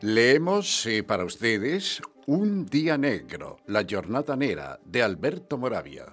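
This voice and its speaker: A male radio theatre presenter reads a scripted introduction. His delivery is measured but varies widely in pitch, at a middle register, with loud music playing along. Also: very animated.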